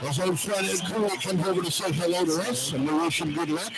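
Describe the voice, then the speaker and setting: A man's voice: high voice, brisk, over loud music, rough recording. A man hosting a live basketball radio broadcast, giving conversational commentary and addressing his partner. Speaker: play-by-play radio host.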